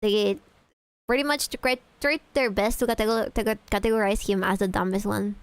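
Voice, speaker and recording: A woman's voice: medium pitch, steady tone, moderate pace, clean tone, dry recording. A woman streamer chatting casually, profane and easily sidetracked, reacting to videos.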